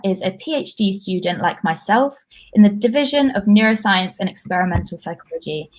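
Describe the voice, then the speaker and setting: A woman's voice: medium pitch, low-quality recording. A young woman hosting an online science event, speaking in an informal, welcoming way as she does the introductions. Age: young adult. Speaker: event host, PhD student.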